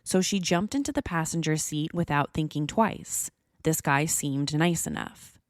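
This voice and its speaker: An American woman hosting a true crime podcast, narrating from a script in a measured, serious storytelling manner. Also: low-pitched, no room sound, clean studio sound.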